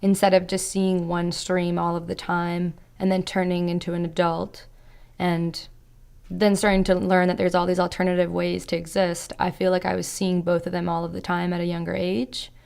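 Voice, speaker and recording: A young woman with heavy vocal fry. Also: fairly low pitch, completely flat delivery, normal speed, a little mellow. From Canada. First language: Serbo-Croatian. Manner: reflective, frequent "like" and "you know". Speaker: podcast guest. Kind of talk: casual interview chat.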